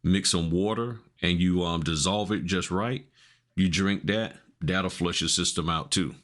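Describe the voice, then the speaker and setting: Deep-voiced American man chatting. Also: unhurried, a bit bright. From Florida, United States. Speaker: podcast co-host. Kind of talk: casual chat.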